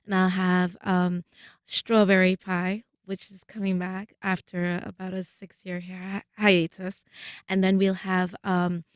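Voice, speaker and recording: A woman, a food truck owner speaking as a radio guest, describing things with pauses. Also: medium pitch, gravelly, no room sound, clean studio sound. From Trinidad. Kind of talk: radio interview.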